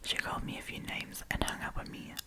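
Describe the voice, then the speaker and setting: A woman whispering in a breathy, chatty, informal way as she hosts an ASMR storytime, reading aloud and commenting. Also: a little fast. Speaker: host of storytime series.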